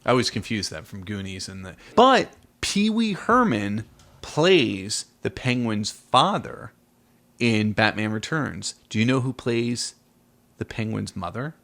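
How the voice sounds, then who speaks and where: Playful man with very animated pitch. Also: measured pace, creaky. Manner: teasing, playful. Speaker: podcast host. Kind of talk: casual movie chat with a child.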